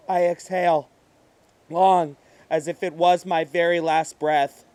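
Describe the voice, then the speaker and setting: A terrified madman voiced by a man narrates a horror story in a high voice. The pace is slow and halting, breathy and theatrical, with worn-out desperation. The sound is very dry, with no room around it. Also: fairly even intonation, no room sound. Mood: fearful, weary. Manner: breathy, halting, theatrical, swinging from hush to outburst. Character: terrified, exhausted madman narrator hunted by demons. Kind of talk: dramatic horror monologue reading.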